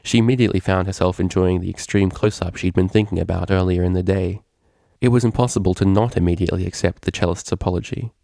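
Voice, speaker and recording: Deep, gravelly man reading a story. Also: steady tone, fast, mellow, no echo, clean studio sound. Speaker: fan fiction reader. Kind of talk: scripted story narration with character voices.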